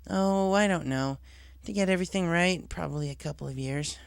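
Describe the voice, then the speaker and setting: A man's voice: fairly high pitch, a little fast, gravelly, clean tone, no echo, pitched above the performer's own voice. A man voicing a confident, casual young female pegasus pony in a story reading. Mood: sincere.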